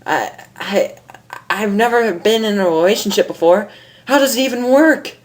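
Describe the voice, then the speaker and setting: Man playing a lovesick girl pony, high strained pitch, panicky, stammering, exclaiming, storybook character. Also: normal speed, lightly acted. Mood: distressed. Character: frantic lovesick young female pegasus pony.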